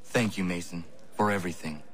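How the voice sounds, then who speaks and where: An actor playing a solemn, defiant young revolutionary with formal, measured speech in a video game. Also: male voice, low voice, noisy background, close to an everyday voice. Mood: grave, restrained.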